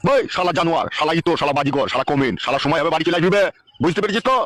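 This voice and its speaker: Man playing a fast-ranting, exasperated cartoon father. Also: a little high, lively intonation, very noisy, close to an everyday voice. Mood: angry scolding. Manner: ranting, cursing, aggrieved. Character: exasperated foul-mouthed father scolding his grown son. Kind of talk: amateur cartoon village comedy dubbing. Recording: loud music under the voice.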